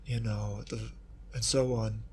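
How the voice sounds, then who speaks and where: A man's voice: fairly low pitch, level tone, a little slow. Thoughtful rambling man from Kentucky. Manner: thoughtful, rambling with asides and restarts. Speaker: guest researcher, historian of East Asia. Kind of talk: casual reflective interview talk.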